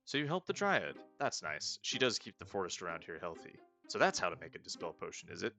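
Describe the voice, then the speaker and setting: A kindly, chatty village healer from a fantasy video game, read out by a man in his own low voice. The voice sits very low with a gravelly fry, and the tone stays friendly. Soft game music plays underneath. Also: big pitch swings, fast, full character voice.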